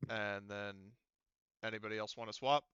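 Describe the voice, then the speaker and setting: A man's voice: on the low side, steady tone, moderate pace, fairly bright tone, clear, resonant tone, no room sound, muffled recording. A man acting as game master in a casual online tabletop game, explaining things in a hesitant way.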